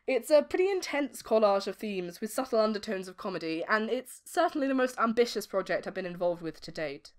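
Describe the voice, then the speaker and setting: A young woman giving articulate, expressive interview answers as an amateur voice actress. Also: mid-range voice, fairly quick, hardly any pauses. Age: young adult.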